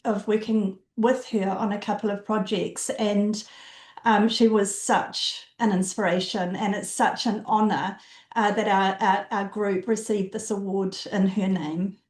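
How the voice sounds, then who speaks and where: A woman's voice: mid-range voice, a little mellow. New woman in an echoey room. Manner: hesitant, many ums. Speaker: academic integrity advisor. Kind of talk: self-introduction on a panel. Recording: echoey room on a video call.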